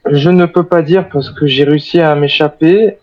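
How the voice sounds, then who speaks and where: A man's voice: a little high, steady tone, normal speed, muffled recording, natural voice. A man playing an armed, wary but cooperative soldier survivor in an improvised zombie game roleplay, speaking formally and hesitantly. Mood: explaining, hesitant.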